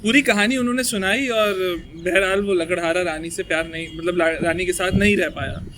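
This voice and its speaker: A man's voice: sits high, a little fast, sharp tone. A man hosting a casual talk, telling things conversationally with hesitant phrasing and some English mixed in. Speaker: host of a poetry talk show.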